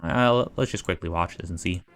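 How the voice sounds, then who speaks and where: Male streamer, low-pitched, casual reaction commentary; halting, meandering, think-aloud delivery. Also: a bit bright, smooth, faint music behind. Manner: hesitant, rambling, thinking aloud. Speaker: livestreamer.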